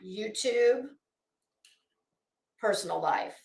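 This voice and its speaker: High-voiced, slow-talking American woman, roomy sound. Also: expressive, creaky, darkish tone. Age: middle-aged. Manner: blunt, opinionated, profane. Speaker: YouTube commentary host. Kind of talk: casual livestream commentary. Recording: roomy, echoey room sound.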